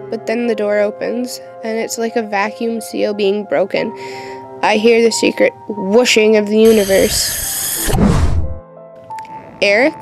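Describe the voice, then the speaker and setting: An actress playing a defiant, sharp-tongued teenage witch in an audio horror drama, intense and dramatic. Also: fairly high pitch.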